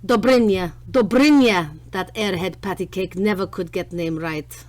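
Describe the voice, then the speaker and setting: A woman's voice: mid-range voice, unhurried, music in the background, natural voice. A woman playing a haughty, sultry Russian spy in a campy radio play, speaking accented broken English.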